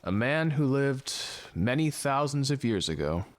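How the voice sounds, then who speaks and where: An actor playing a gloomy, philosophical man on a ship in an audio drama, with a solemn deadpan delivery. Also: medium pitch, a little slow, no room sound. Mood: somber, musing. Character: gloomy philosophical ship's man, fatalistic and slow to romance.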